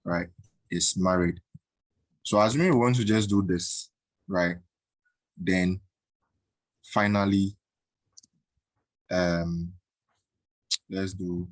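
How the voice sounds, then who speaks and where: Slow, deep-voiced man teaching code. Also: dry recording, rough recording. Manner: halting, many fillers and "right?" tags. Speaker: coding instructor. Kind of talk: screen-recorded coding tutorial.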